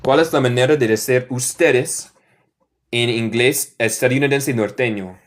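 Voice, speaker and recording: A young American man from the northern United States with a Midwestern accent, teaching English in Spanish as a second language on a live online class. He repeats each example sentence slowly. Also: medium pitch. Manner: slow, repeats example sentences several times.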